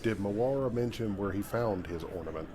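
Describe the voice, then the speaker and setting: A man voicing a courteous, earnest space adventurer, measured and articulate, over a video game visual novel. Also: fairly low pitch, dark tone, lightly acted. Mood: inquisitive.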